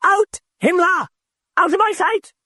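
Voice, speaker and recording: Hoarse British spirit. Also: male voice, very high-pitched, measured pace, pushed and bright, dry recording, studio quality, voice pushed up, full character voice. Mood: furious shrieking. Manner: shrieking curses. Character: shrieking hoarse British-accented spirit, furious.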